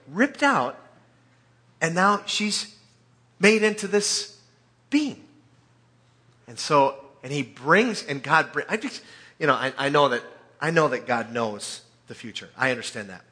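Guy preaching casually, kinda high bright voice, animated storyteller, jokey asides. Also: slow pace, long pauses. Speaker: preacher or Bible teacher. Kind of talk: informal conversational sermon with scripture readings.

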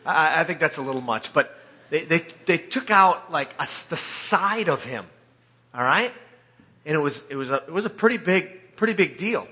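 Guy preaching casually, kinda high bright voice, animated storyteller, jokey asides. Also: normal speed. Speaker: preacher or Bible teacher. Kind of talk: informal conversational sermon with scripture readings.

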